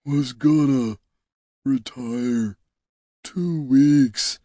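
A man voicing a weary, dying old ogre who is slow-witted and speaks in halting, laboured broken phrases. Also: mid-range voice, mellow, no room sound, studio quality, pitched above the performer's own voice. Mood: weary, lamenting.

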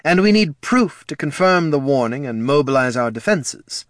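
A man's voice: a little high, animated, normal speed, a bit bright, no echo, studio quality, voice pushed up. A man voicing a persistent, challenging male hero with a pointed manner in a fantasy audiobook. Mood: firm. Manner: pointed, pressing.

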